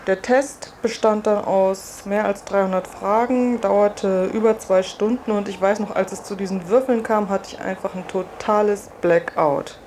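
A woman's voice: medium pitch, fairly even intonation, unhurried, few pauses, a little mellow. A woman reporter giving an informal first-person account of her own experiences. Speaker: reporter and test participant. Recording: faint background ambience and noise.

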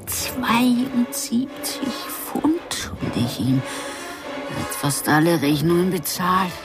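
A distraught, clinging young wife in a radio drama. She speaks slowly, with music playing behind her. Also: fairly low pitch, sing-song, full character voice. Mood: brooding, dejected.

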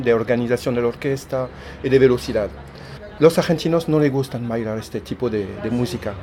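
A man's voice: medium pitch, darkish tone. A man from France with a French accent, a tango DJ answering radio interview questions in a hesitant way, repeating words often. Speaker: tango DJ and milonga organizer.

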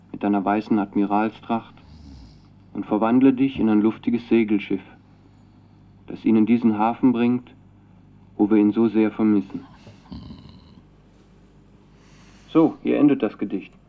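An actor playing an earnest, naive young man speaking a ceremonious recorded message in a radio drama, through a narrow tape filter. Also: mid-range voice. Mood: solemn, reciting. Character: earnest young Chilean man, devoted admirer of a poet.

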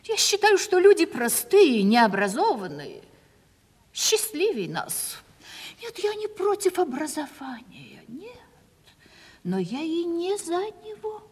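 An actress in a radio play voicing an aging provincial gentlewoman, gracious and self-justifying, with theatrical, affected, effusive delivery. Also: very high voice, very animated, slow pace, heavily acted. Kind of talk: classic Russian stage comedy radio acting. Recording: 1970s radio play, reverberant hall.

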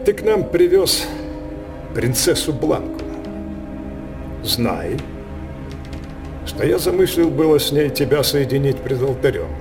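A man's voice: a little high, animated, measured pace, pauses often, over music. An actor playing a capricious, scheming tyrant king in a radio stage drama, declaiming in an imperious, mocking, theatrical manner.

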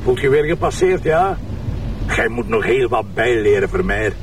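An actor playing a gruff, impatient police commissioner in a TV crime drama, blunt and sarcastic, with a Flemish accent. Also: male voice, mid-range voice, expressive, normal speed, recorded from a distance, background noise, close to an everyday voice. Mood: irritated, scornful. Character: gruff, irritable male police commissioner with a sharp tongue. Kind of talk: naturalistic TV crime drama acting.

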